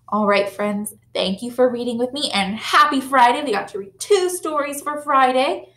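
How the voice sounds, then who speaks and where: A young woman teacher reads a picture book aloud for small children, animated and sympathetic. Her voice is fairly high and she takes her time. The webcam picks up a roomy classroom echo. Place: classroom, indoors.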